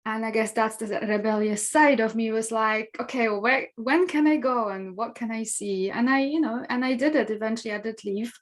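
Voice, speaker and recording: A woman's voice: a little high, normal speed, few pauses. A woman in her thirties with a Polish accent tinged with Scottish, speaking reflectively as a podcast guest. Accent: Polish with a touch of Scottish. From Poland. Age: 30s. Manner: reflective, thoughtful with frequent fillers. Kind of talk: conversational interview.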